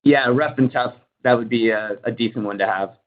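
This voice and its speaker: A man speaking as a company executive on an earnings call, answering in measured corporate speak with frequent "you know" asides. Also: mid-range voice. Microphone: conference call line. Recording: dull, lo-fi conference call.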